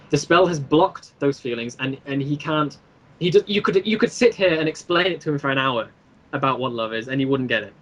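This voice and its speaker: Higher-pitched man, rambling and eager. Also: a bit bright, low-quality recording. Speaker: guest, creator of an audio drama series. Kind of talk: casual explanatory interview chat.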